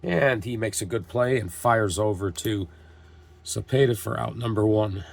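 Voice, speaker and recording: Low-voiced man calling a dice baseball game. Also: measured pace, gravelly. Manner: steady, reads lineups and calls plays like a broadcaster. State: getting over a cold, voice strained. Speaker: tabletop baseball game host. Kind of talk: play-by-play narration of a dice game.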